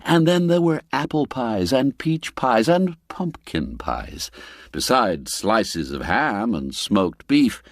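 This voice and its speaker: A man's voice: sing-song, dry recording, clean studio sound. A man narrating a radio drama in a theatrical manner, savouring old-fashioned phrases. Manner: slow and deliberate, with wide swoops in pitch. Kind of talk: dramatic audio-drama storytelling.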